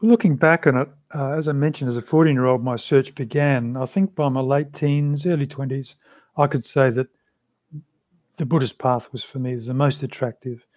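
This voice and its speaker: A man, answering interview questions in a measured, thoughtful way over a video call from a small room. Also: medium pitch, steady tone, darkish tone, no echo. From Australia. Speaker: interview guest, Buddhist practitioner. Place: small room with a shrine, indoors.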